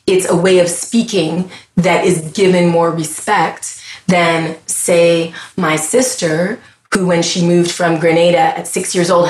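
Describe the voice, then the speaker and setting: A woman's voice: on the low side, echoey room, poor audio. A woman with a Canadian accent, a podcast guest talking in an earnest, impassioned way and often restarting her thoughts mid-sentence. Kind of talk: casual conversation.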